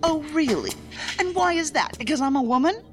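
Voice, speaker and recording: An actress playing a wary, sharp-tongued federal agent in an audio drama, pointed and argumentative. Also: female voice, sits high, animated, a little fast, music in the background. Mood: indignant.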